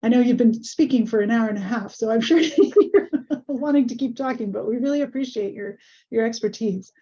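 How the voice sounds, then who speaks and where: A woman hosting an online webinar over a video call, a little hoarse, friendly and full of ums. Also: a little high, a little fast, dark tone. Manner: friendly, many ums and uhs. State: slightly hoarse. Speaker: webinar host. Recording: muffled video call audio.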